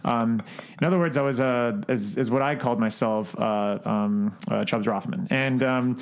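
A man in his thirties co-hosting a podcast, telling anecdotes in a casual, halting, jokey way. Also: mid-range voice, gravelly, clean tone. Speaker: podcast co-host.

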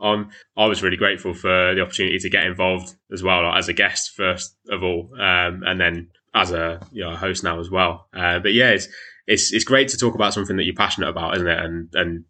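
A man's voice: deep voice, steady tone, a little fast, sharp tone. A man co-hosting a podcast, chatting in a friendly way with tag questions. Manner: friendly, says "mate", asks tag questions. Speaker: podcast co-host. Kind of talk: casual podcast chat.

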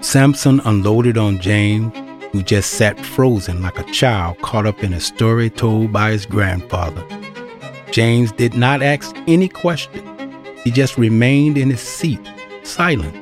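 A man's voice: fairly low pitch, a little slow, faint music behind. A man narrating a scripted audio drama in a wry storytelling manner.

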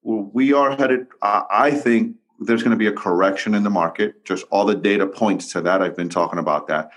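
A man hosting a real estate podcast, talking in an energetic, emphatic way, repeating and restarting his phrases. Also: fairly low pitch, brisk. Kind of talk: casual interview talk.

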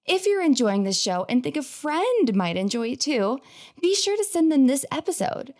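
A woman's voice: high voice, very animated, normal speed, a little mellow. A woman hosting a podcast, reading an upbeat intro and chatting with a guest in a bubbly, enthusiastic way. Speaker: podcast host.

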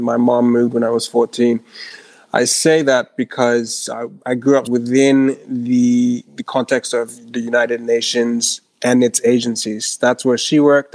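A man's voice: medium pitch, creaky, a little mellow, dry recording. A man from Ghana giving a reflective, conversational account of himself as a panel guest, choosing his wording with care. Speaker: entrepreneur on a panel.

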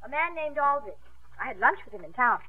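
A woman's voice: sits high, sing-song, fast talker. An actress playing an earnest, ambitious young woman swimmer in a 1950s radio drama. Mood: bright, hopeful. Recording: muffled old 1950s radio broadcast.

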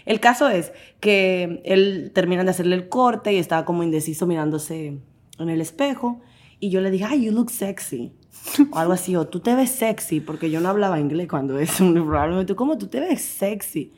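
A young adult woman from the Dominican Republic with a Dominican Spanish accent, guest in a casual interview, animated and quick to laugh as she acts out the voices in her stories. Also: fairly low pitch.

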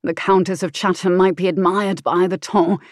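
A woman's voice: on the low side, level tone, normal speed, no echo, studio quality, lightly acted. A woman voicing an arrogant, selfish nobleman in an audiobook, sneering and commanding. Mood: angry.

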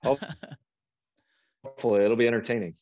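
A man's voice: mid-range voice, steady tone, a little fast, a little mellow, dull audio. A man who hunts coyotes, a guest on a podcast, talking in a laid-back, plain-spoken way. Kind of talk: casual hunting chat.

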